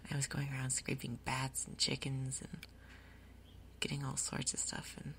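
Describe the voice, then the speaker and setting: A woman speaks in a soft, breathy whisper as she hosts an ASMR gaming video. Her voice sits low and stays quite even, with very little melodic movement. She murmurs her thoughts aloud in an unhurried, gentle commentary.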